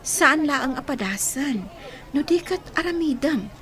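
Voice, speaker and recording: An actress playing a concerned friend who gives advice in an Ilocano radio drama. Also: female voice, sits high, animated, unhurried, fairly bright tone.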